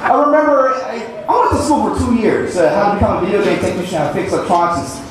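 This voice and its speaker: A man's voice: high voice, very animated, fast. A young man performing a stand-up comedy set on a club stage, with an awkward, rambling, self-deprecating delivery. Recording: echoey club room, distant mic, background noise.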